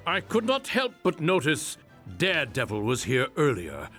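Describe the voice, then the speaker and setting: A man's voice: fairly high pitch, wildly swooping pitch, moderate pace, fairly bright tone, soft background music. An actor playing a vain, haughty superhero king of the sea who talks pompously and formally in a comedic game scene. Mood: haughty disbelief. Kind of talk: comedic game cutscene acting.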